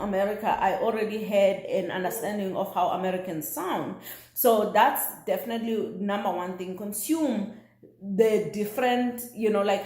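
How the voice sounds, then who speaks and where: A woman's voice: medium pitch, a little mellow. A South African woman whose first language is Xhosa, chatting casually to camera as a vlogger, with lots of fillers and restarts. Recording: roomy echo.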